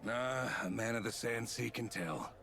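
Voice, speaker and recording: A man playing a gruff, big-hearted sailor captain in a video game, with a salty, blustering way of talking. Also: mid-range voice, unhurried, a bit bright, slight background noise. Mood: moved.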